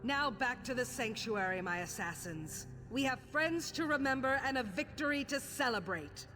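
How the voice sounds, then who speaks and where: A woman's voice: on the high side, animated, moderate pace, bright, forceful tone, faint music behind. An actress playing a fierce, proud commander of assassins in a fantasy video game, declaiming her lines with authority.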